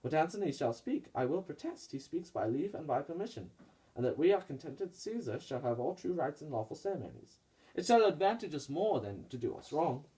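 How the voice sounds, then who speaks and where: A man's voice: fairly high pitch, very animated, a little fast, mellow, rough recording. A man playing an earnest, honourable Roman conspirator in a puppet-show Shakespeare, speaking formal, declamatory verse persuasively. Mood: assured, reasoning.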